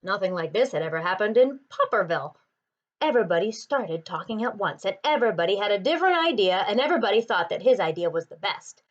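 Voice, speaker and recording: Animated woman reading a picture book aloud. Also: medium pitch, highs cut off. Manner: expressive, deliberate phrasing. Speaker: storybook reader.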